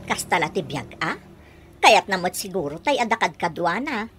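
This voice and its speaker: A woman's voice: fairly low pitch, big pitch swings, moderate pace, a bit bright, a little hiss or hum. A woman playing a sensible, patient elder daughter who coaxes and placates in a radio comedy-drama. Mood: explaining.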